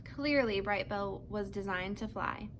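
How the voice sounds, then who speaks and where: A woman's voice: mid-range voice, measured pace, fairly bright tone, a little hiss or hum. A woman narrating a children's book as a read-aloud, telling the story to young listeners. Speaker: storybook reader.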